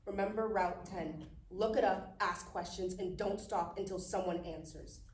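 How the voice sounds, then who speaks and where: A woman's voice: medium pitch, normal speed, natural voice. A woman playing an outspoken, resolute young niece who records a defiant message, in a live script reading. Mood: grave, defiant. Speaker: actor at a live table read. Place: live event room at a film festival. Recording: roomy, distant mic, faint noise.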